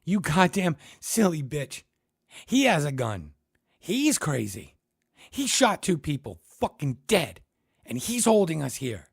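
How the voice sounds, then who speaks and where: A man voicing a panicky, furious teenage boy who shouts and swears, his voice pushed high. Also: a little fast, hardly any pauses, dry recording, studio quality. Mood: panicked.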